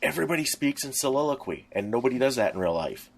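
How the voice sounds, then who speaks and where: A man co-hosting a podcast, chatting casually about pop culture in a rambling, halting way as he explains things. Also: medium pitch, very animated, vocal fry. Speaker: podcast co-host.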